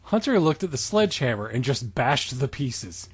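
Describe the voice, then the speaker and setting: A man's voice: medium pitch, sing-song, moderate pace, husky, dry recording. A man co-hosting a comedic reading of fan fiction, switching between reading aloud and casual commentary. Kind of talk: amused dramatic reading with casual riffing.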